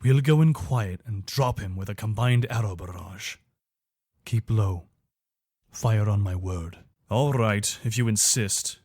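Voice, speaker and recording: A man's voice: on the low side, measured pace, a little mellow, husky, no echo, studio quality. A man playing a loyal, wary soldier of an imperial legion in a video game, speaking in an earnest, soldierly manner. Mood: earnest, steady. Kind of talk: video game character voice lines.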